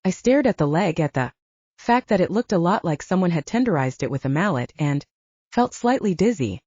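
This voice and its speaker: A woman's voice: lowish voice, lively intonation, a little fast, mellow, breathy edge, dry recording. A woman reading scripted first-person fiction as an audiobook narrator, acting out the dialogue in a dull-sounding recording.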